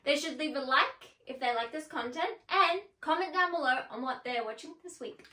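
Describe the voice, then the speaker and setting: A woman co-hosting a movie review show, chatting casually in an animated way with hesitant phrasing. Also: high-pitched, moderate pace. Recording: echoey room, distant mic.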